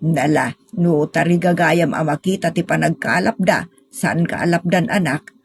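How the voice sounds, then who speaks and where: A husky Filipino mother , played by a woman in a radio drama, recorded dry and close. She admonishes her grown daughter with doubt and caution. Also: sits low, moderate pace, no room sound, pitched below the performer's own voice.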